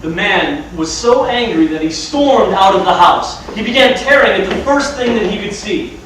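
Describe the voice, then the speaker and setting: A man's voice: high-pitched, expressive, normal speed, fairly bright tone, distant mic, a little hiss or hum. A man playing the storyteller of a folktale, giving scripted narration in a stage play. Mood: measured. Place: large room or hall, distant mic. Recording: echoey stage from afar, music behind.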